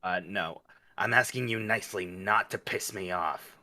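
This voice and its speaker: A woman's voice: very deep voice, normal speed, sharp tone. A woman reading character lines at a casual table read among friends.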